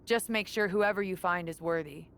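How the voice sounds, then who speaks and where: A woman's voice: on the low side, normal speed, creaky, a bit bright, clean tone. A woman playing a confident, encouraging wrestler, speaking assured in a video game cutscene. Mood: businesslike. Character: confident, encouraging veteran female wrestler rallying allies.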